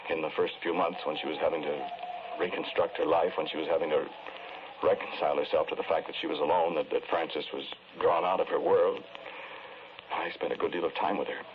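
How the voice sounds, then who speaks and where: An actor playing a sombre, earnest confessed killer in a 1940s radio drama, speaking in a measured, hesitant, brooding way. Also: male voice, very deep voice, little pitch movement. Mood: sombre, reflective. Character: sombre, earnest man confessing to killing the woman he loved. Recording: noisy, muffled 1940s radio recording.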